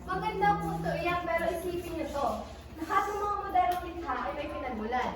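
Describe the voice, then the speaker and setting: A woman acting a patient female teacher in a school stage play, explaining with deliberate projection. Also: very high-pitched. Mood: instructive. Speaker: actor in a school one-act play. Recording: very echoey hall, distant mic, faint noise.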